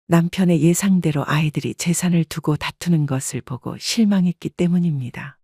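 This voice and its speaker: Calm, breathy woman narrating a story. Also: low voice, no room sound, studio quality. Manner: calm, even, reflective. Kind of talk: scripted first-person story narration.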